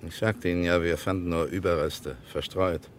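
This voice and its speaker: An actor playing a probing, self-assured private investigator in a radio crime drama, speaking in a dry, measured way. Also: male voice, sits low, steady tone, slight background noise, close to an everyday voice. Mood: firm, matter-of-fact.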